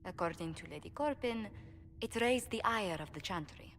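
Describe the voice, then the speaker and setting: An actress playing a polished, pragmatic ambassador in a game cutscene, formal, articulate and tactful. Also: female voice, medium pitch, animated, normal speed, fairly bright tone, natural voice. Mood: measured, concerned. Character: polished, diplomatic female ambassador, measured and pragmatic. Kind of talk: video game cutscene acting. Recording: hall reverb, faint background noise.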